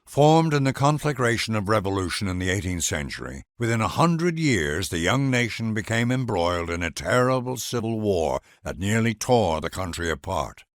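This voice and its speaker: A man's voice: lowish voice, very animated, normal speed, hardly any pauses, no echo, clean studio sound. A man reading scripted grand historical narration for a video game in a solemn, formal, stately manner.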